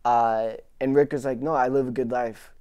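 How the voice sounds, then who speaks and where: A man's voice: medium pitch, fairly even intonation, moderate pace, a little mellow, clear, resonant tone. A young man, a comedian guest on a podcast, telling stories in a laid-back way, swearing and laughing often. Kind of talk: casual podcast chat.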